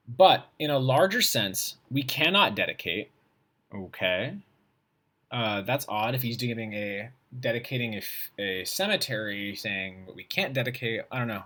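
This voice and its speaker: Guy teacher doing a read aloud, medium pitch, stops to work out what it means in plain casual words. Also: sharp tone, husky. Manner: informal, pausing to puzzle over and paraphrase what he reads. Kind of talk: read-aloud demonstration, thinking out loud.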